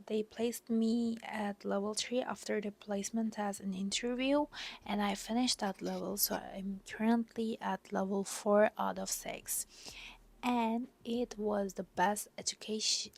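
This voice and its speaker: A young woman from Turkey, speaking English with a Turkish accent as a non-native speaker, talking in a personal vlog as an exchange student, softly and often half-whispered with many hesitant fillers. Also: medium pitch, vocal fry. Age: young adult.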